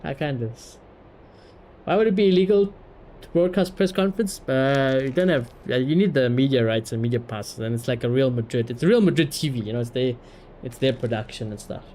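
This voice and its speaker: A man giving casual livestream commentary in an animated, slangy, sweary way. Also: medium pitch, fairly quick, slight background noise. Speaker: live streamer.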